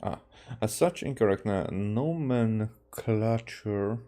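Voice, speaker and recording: Low, mellow-voiced man from Hungary streaming. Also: animated, soft background music. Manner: halting, re-reads stumbled words. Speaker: game streamer. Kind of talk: casual gameplay commentary, reading game text aloud.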